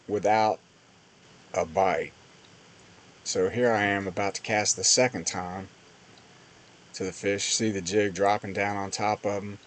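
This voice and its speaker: A man's voice: on the low side, a little hiss or hum. A man giving casual, plain-spoken voice-over commentary on fishing footage as host of a fishing channel.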